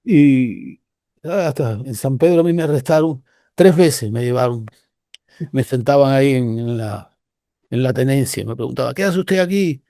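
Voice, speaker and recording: An older man from Cuba with a Cuban Spanish accent, telling stories in a relaxed, rambling way with many restarts. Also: mid-range voice, normal speed, no echo, clean studio sound. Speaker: guest researcher. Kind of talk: casual conversational storytelling.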